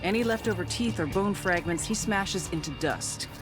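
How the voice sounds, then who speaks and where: An actress playing a grim, outraged intelligence agent in a game cutscene, speaking in a measured, hard-edged way. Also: female voice, lowish voice, expressive, music in the background, lightly acted. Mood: grim, somber. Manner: measured, hard-edged, foul-mouthed when angry. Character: grim, outraged intelligence agent woman recounting cartel horrors. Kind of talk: dramatic scripted narration in a game cutscene.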